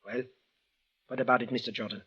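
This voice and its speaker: A man's voice: mid-range voice, fairly even intonation, brisk, bright, forceful tone, slightly rough. An actor playing a big, vengeful man from Damascus in an old radio drama, speaking formal, stilted English. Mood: urgent, embittered. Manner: formal, stilted foreigner's phrasing without contractions. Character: tall, big, menacing, vengeful bandaged foreign man from Damascus. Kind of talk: 1940s-50s radio drama acting. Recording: dull old radio recording.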